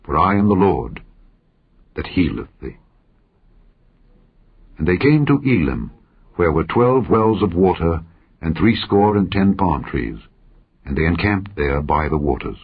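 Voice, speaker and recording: Male Bible narrator, husky and solemn, measured declamatory phrasing, slightly dull recording. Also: deep voice, very animated. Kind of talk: solemn scripture reading.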